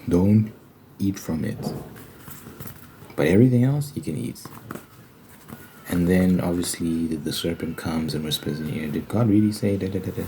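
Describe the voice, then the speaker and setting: Man, very low pitch, soft dark timbre, casual ASMR explainer, halting conversational phrasing. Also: low-quality recording. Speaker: ASMR video creator. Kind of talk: casual ASMR religious monologue. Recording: faint background noise.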